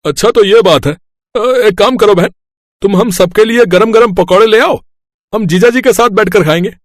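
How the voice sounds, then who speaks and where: A man's voice: high voice, moderate pace, no room sound, studio quality, pitched above the performer's own voice, close to an everyday voice. A man voicing a chirpy, casual brother-in-law who is eager and coaxing in a moral story. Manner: eager, breezy.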